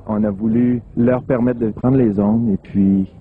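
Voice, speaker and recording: A man's voice: medium pitch, fairly even intonation, measured pace, a little hiss or hum. A man reflecting on the aims of a youth radio station, thoughtful and explanatory. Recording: tinny band, faint music behind.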